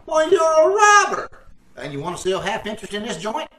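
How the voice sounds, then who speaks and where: A man's voice: sits high, huge pitch swings, fairly quick, crowd noise. A man playing a blustery, indignant, scheming husband in old-time radio comedy, exclaiming and stumbling over his words. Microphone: video call. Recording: roomy, distant home video-call sound.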